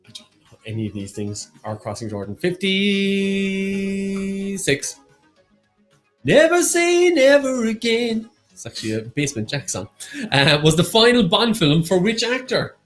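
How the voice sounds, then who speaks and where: Irish man, quiz co-host, slightly high and lively voice, jokey, faint music under. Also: unhurried, pauses often. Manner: animated, joking, reads answers and percentages. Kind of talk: livestreamed pub quiz banter.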